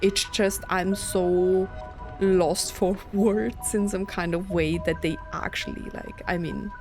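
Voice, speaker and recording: A woman's voice: on the low side, steady tone, slow, darkish tone. A woman doing let's play commentary, thinking aloud in disbelief and reading game text out. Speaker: gaming streamer. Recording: game music behind the voice. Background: game music and game dialogue.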